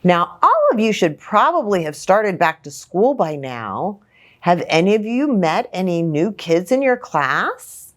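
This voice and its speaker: A woman hosting a children's story time, reading a picture book in an expressive, sing-song way and speaking to young children. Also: on the low side, measured pace. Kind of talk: animated children's picture-book read-aloud.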